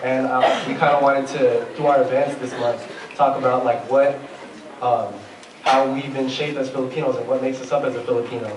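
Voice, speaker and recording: A young man hosting a student panel interview, talking haltingly with lots of fillers. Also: medium pitch, little pitch movement. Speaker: student event host. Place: large hall with a live audience. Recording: echoey hall, far mic, crowd noise. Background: crowd chatter.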